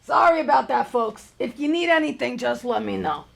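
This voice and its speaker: A woman's voice: on the high side, animated, moderate pace, gravelly, fairly bright tone, exaggerated voice. A woman playing an earnest, weary bar owner, a man of fifty-seven, in a live comedy table read. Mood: flustered, placating. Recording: live stage, faint room noise.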